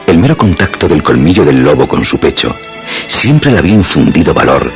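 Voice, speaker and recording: Male radio drama narrator: bass register, expressive scripted storytelling over clearly audible music. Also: a little fast.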